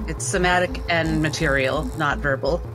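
A man's voice: a little high, steady tone, normal speed. A man playing a tabletop roleplaying game, talking casually through his moves. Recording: music bed under the voice.

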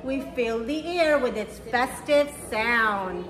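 High-pitched, slow-paced woman storytime reader. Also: expressive, a bit bright. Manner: simple, encouraging teacherly address to young children. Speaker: children's storytime reader and teacher. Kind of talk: read-aloud of a picture book for children. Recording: roomy echo with faint background noise.

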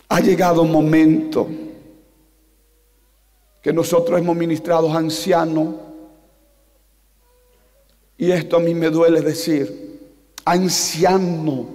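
A man in his forties from Paraguay with a Paraguayan Spanish accent, Guarani as his first language, preaching a passionate sermon into a handheld mic, climbing to shouts and slowing down for emphasis. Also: a little high. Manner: impassioned, rising to shouts, slows for emphasis. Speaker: pastor. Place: large church hall on a stage. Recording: long reverb of a large hall.

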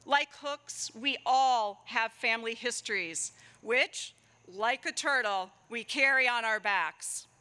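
A middle-aged woman delivers a formal keynote from an outdoor lectern microphone. Her voice sits high and bright, and she reads in a measured, deliberate way with rhetorical pauses. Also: clean tone. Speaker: keynote speaker, political scientist. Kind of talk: formal prepared speech read at a lectern. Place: outdoors at a stone university building, ceremony.

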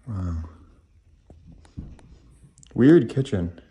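A man's voice: on the low side, lively intonation, extremely slow, dark tone, husky. A man exploring a house as a vlogger, thinking aloud in short, casual, murmured reactions on a noisy handheld recording. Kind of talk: casual off-the-cuff exploration commentary. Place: indoors, inside rooms of a house.

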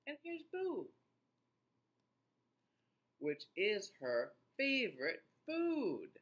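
Very slow, animated man reading to children. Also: high voice. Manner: animated, deliberate, expressive teacher-like delivery with playful asides. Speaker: children's read-aloud host. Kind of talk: reading a children's picture book aloud to young children. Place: small indoor room.

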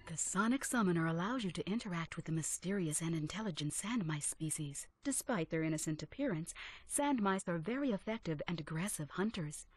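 A woman voicing a calm instructional narrator in a video game, measured and explanatory. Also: fairly low pitch, breathy edge, no room sound. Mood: informative. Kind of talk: scripted narration.

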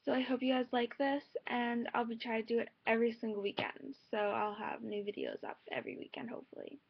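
Young female vlogger, slightly high voice, informal rambling chat, muffled lo-fi laptop recording. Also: flat delivery, a little fast, vocal fry, slightly rough. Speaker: amateur singer and vlogger. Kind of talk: casual chat to viewers. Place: bedroom, indoors. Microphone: laptop's built-in mic at arm's length. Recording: dull lo-fi laptop microphone.

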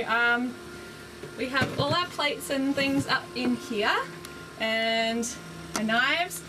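A woman in her thirties talks casually and cheerfully, sprinkling in ums as she points things out. Her voice sits a little high. She is recorded on a nearby handheld camera inside a small sailboat cabin, with steady noise clearly audible behind her. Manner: chatty and upbeat, explaining gear with frequent ums. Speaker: vlogger giving a boat tour. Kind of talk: casual walkthrough vlog. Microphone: handheld camera close by.

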